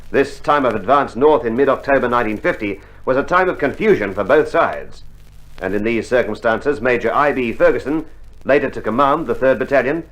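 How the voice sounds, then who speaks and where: A man reads a scripted 1960s documentary narration in a measured, formal manner. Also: mid-range voice, fairly bright tone, rough recording.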